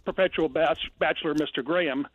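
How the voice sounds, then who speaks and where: A man from New York calling a radio show, putting his question in a deliberate, conversational way. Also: on the high side. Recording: tinny dry phone line.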